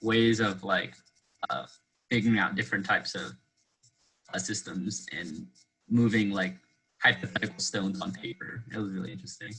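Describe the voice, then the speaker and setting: A man's voice: fairly low pitch, moderate pace, pushed and bright, slightly rough, poor audio. A man speaking as a student in a casual discussion, phrasing things hesitantly with lots of "like". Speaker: student or class participant.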